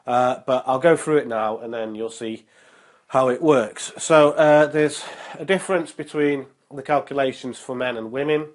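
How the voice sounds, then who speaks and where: Upbeat man, a bit nasal from a cold. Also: medium pitch. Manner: upbeat, motivational, many filler ums and uhs; slow and careful when reading out numbers. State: nasal from a cold, sore throat. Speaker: fitness vlogger. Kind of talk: casual vlog talk and explainer.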